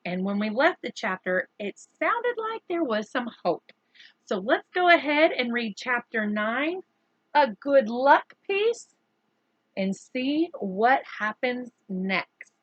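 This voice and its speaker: A woman reading a chapter book aloud to children in an expressive, deliberate storyteller's way. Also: fairly high pitch, slightly rough, dry recording, muffled recording.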